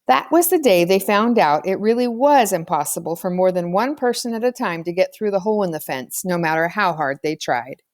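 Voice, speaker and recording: A woman narrating a children's novel aloud as an expressive storyteller. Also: on the low side, a little fast, few pauses, no echo, studio quality. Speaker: audiobook reader.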